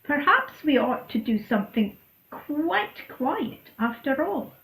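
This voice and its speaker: A woman voicing a fussy, cheerful young male goat who talks in a bouncy, excitable way. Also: high voice, takes it slowly, pitched above the performer's own voice, full character voice. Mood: excited. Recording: roomy echo.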